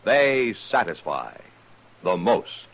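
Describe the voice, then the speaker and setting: A man delivering a polished sales pitch as a 1950s radio commercial announcer. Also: very animated, slow pace, rough recording. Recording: old radio broadcast with music.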